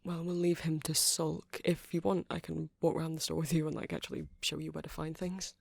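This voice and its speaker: A woman's voice: low-pitched, little pitch movement, a little fast, gravelly, dry recording, clean studio sound. A woman playing an awkward, flustered male vampire who runs a music shop, stammering and rambling in an intimate ASMR roleplay. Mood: teasing. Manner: hesitant, stammering, rambling, apologetic.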